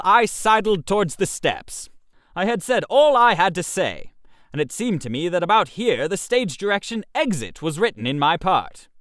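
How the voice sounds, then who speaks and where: A man reads a comic story as narrator, with theatrical expression. His pitch swings widely and sits fairly high for a man, and the recording is dry and close. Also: fairly bright tone, dry recording. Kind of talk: animated first-person comic story narration.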